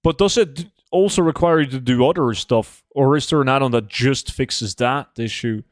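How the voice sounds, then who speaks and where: A man's voice: medium pitch, normal speed, no echo. Blunt man streaming, dry close sound. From Norway. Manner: blunt, swears casually. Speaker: game livestreamer. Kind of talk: casual livestream chat while gaming.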